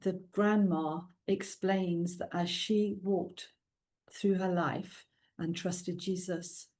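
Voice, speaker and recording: A middle-aged woman from South Wales with a Welsh accent, a native Welsh speaker, chatting as a guest in an interview, with frequent ums and laughs. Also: on the low side. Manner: warm, chatty, laughs often, many ums. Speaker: guest author. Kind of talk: casual interview chat.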